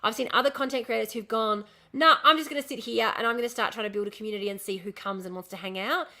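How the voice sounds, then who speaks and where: Bright, ranting woman losing her voice. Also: a little high, fast. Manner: ranting, lots of swearing and restarts. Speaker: streamer. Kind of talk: casual live-stream chat reading comments.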